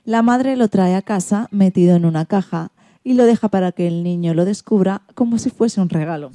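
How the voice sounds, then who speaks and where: A woman's voice: medium pitch, normal speed, mellow, dry recording. A woman with an accent from Spain, hosting a radio show in a scripted presenting style.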